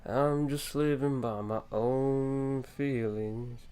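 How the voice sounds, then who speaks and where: A man's voice: mid-range voice, fairly even intonation, slow, dark tone, clean tone. Sick man chatting on a live stream. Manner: rambling, self-conscious, chatty with restarts. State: sick, with a lowered voice. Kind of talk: casual live-stream chat. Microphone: desk USB microphone, with headphones on.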